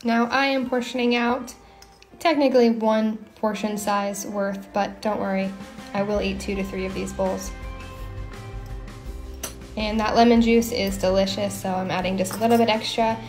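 A young woman hosting her own home cooking show, talking through each step in a relaxed, chatty way with small asides. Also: medium pitch, normal speed, soft background music. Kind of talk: casual cooking voice-over. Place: home kitchen.

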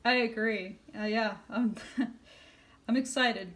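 A woman's voice: fairly high pitch, takes it slowly, fairly bright tone. A woman interviewing in a casual, conversational way, sharing her own thoughts as she goes. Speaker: interviewer, documentary maker.

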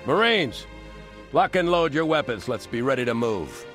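A man's voice: medium pitch, sing-song, moderate pace, fairly bright tone, soft background music. A man playing a seasoned, authoritative military captain with a grave manner in a sci-fi video game. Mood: rallying. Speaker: starship captain.

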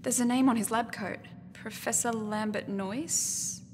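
A woman's voice: a little high, steady tone, unhurried, big-room sound, background noise, natural voice. An actress playing an anxious, determined young woman in a science fiction audio drama. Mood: anxious, caring.

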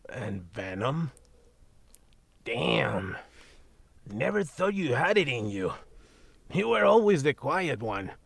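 A sarcastic male talking cat from an audio drama, as a man voices him, gravelly and husky with a gritty rasp under every line. He is blunt and swears freely, and the recording is close and dry. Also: mid-range voice, very animated, slow, pauses often, a bit bright, dry recording, exaggerated voice. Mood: mocking. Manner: sarcastic, blunt, swears, drops Spanish words. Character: grumpy foul-mouthed male talking cat. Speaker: magical shop cat.

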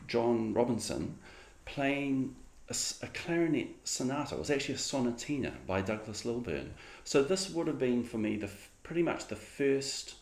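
A middle-aged man with a New Zealand accent gives an informal lecture in a conversational, halting way, often correcting himself as he goes. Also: on the low side, creaky. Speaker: clarinetist giving a lecture. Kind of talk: informal lecture with personal anecdotes. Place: small room at home, indoors. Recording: roomy, echoing home room sound.

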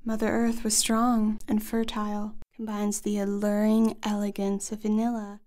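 A woman's voice: medium pitch, monotone, moderate pace, darkish tone, lightly acted. A woman voicing a sultry, flirtatious, glamorous lady in a commercial voice-over style. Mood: alluring. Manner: sultry, alluring.

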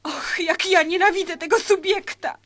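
A woman's voice: extremely high pitch, fairly even intonation, normal speed, a bit bright, dry recording. A woman playing a passionate, discontented young wife in a comic crime radio play, theatrical and overwrought. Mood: exasperated disgust. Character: passionate discontented young wife plotting against her husband.

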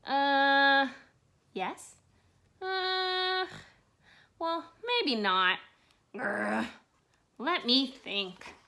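A small, anxious blade of grass voiced by a woman in a storybook, unsure of itself. It speaks slowly and hesitantly, with a plaintive high voice that wobbles widely between low and high. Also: animated, pushed and bright, exaggerated voice.

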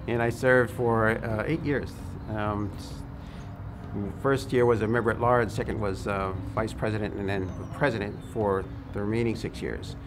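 Older low-voiced man, deliberate phrasing. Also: fairly even intonation, background noise. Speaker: former board president. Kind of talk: documentary interview, personal recollection. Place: outdoors in a park, seated. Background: music.

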